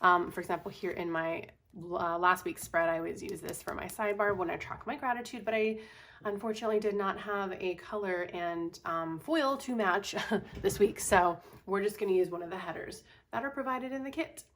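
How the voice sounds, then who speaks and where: A young woman with a hoarse voice, chatting casually over her own planner video, rambling with lots of fillers and small laughs. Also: mid-range voice, normal speed, fairly bright tone, slight background noise. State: extra hoarse after a workout. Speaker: planner vlogger.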